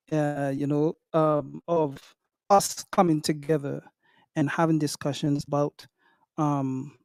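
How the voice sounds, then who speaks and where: A man hosting an online community meeting, talking slowly with many pauses and ums. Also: fairly high pitch, dark tone, dry recording. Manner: slow, hesitant, many ums. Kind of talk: casual online chat.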